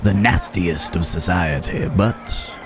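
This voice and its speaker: A man playing a roguish, wry but protective Wild West cowboy in an ASMR roleplay, with a halting, teasing drawl. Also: low-pitched, over music. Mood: dark, knowing. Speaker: audio roleplay voice actor. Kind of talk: ASMR roleplay acting, addressed to the listener.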